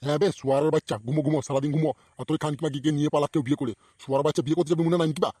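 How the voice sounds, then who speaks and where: Man voicing a high-pitched, rapid-fire bossy cartoon elder. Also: fairly even intonation, warm tone, no room sound, clean studio sound, lightly acted. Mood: scolding. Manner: scolding, blustering, swearing. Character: gruff, bossy, foul-mouthed male elder in a comedy cartoon. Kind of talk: slapstick comedy cartoon dialogue.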